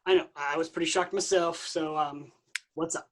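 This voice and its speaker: An actor playing Jesus as a casual, awkward, upbeat buddy in a comic staged play reading. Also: male voice, a little high, steady tone, moderate pace, fairly bright tone, lightly acted. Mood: awkwardly caring. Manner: breezy, informal.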